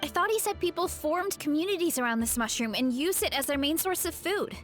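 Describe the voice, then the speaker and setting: A woman's voice: sits high, animated, fairly quick, faint music behind. A woman playing an earnest, easygoing and curious young farm woman in a video game, with a casual delivery. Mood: puzzled.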